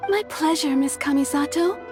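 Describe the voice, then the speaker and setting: A woman's voice: high voice, normal speed, over music, natural voice. A woman voicing a courteous kimono shopkeeper in a video game, welcoming and explaining at length.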